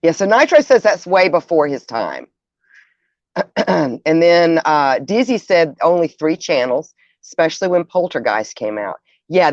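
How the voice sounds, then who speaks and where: Female livestream host, middle-aged, lower register, conversational and reflective, slightly muffled lo-fi sound. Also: no echo. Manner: conversational, musing, addresses listeners directly. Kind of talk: casual livestream chat, reading out viewer comments. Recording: dull, dry lo-fi audio.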